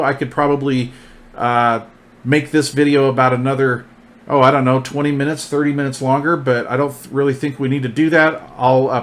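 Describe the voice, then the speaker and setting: A man reviewing gear hands-on in a casual, informal, folksy way, often repeating his phrases. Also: mid-range voice.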